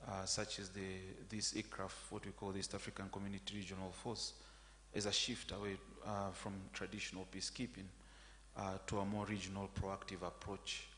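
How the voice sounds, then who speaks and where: Tanzanian male academic, flat low delivery with fillers, recorded in a reverberant hall with faint hum. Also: brisk. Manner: friendly, hesitant with fillers. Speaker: academic panelist. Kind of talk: academic panel talk.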